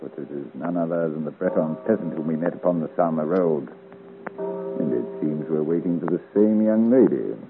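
An actor playing a bold, menacing rogue captain, swaggering and villainous, in 1950s radio serial style. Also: male voice, very deep voice, moderate pace, music in the background. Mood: swaggering menace. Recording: tinny vintage radio recording.

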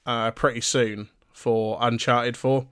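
A man co-hosting a podcast, relaxed and chatty and full of ums, his voice going a little hoarse. His middle-pitched voice is recorded close on a dry, dead mic. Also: slow pace, no room sound. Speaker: podcast co-host. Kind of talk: casual podcast chat.

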